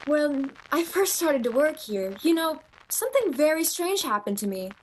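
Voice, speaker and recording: A woman acting in a radio play as a romantic, earnest young shop clerk, expressive and theatrical. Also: high-pitched, moderate pace, a little hiss or hum, poor audio. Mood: confiding, earnest. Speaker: amateur actor.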